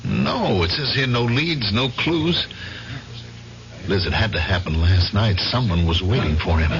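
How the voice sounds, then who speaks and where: A man's voice: on the low side, sing-song, measured pace. An actor playing an anxious, urgent man shaken by a murder in a 1970s radio drama. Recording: muffled, hissy old radio recording.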